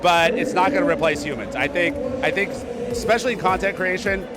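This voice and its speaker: A man with a fairly high voice chats as a guest at an outdoor event, upbeat and emphatic, driving his points home by saying them twice. Loud music plays behind him. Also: brisk. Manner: upbeat, emphatic, repeats himself. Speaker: interview guest. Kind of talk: casual event interview. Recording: music playing loudly behind.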